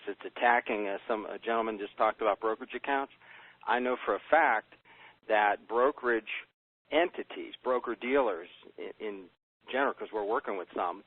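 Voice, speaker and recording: List: man, American, husky, rhetorical, persuasive conference-call presenter, tinny phone line. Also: medium pitch, no echo. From United States. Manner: emphatic, rhetorical questions. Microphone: telephone.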